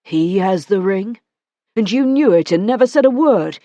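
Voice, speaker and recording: A woman's voice: medium pitch, lively intonation, no echo, dull audio, close to an everyday voice. A woman voicing a man in a cold frenzy, who speaks in an intense, hissing way. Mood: cold fury. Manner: intense, hissing, slow and forceful.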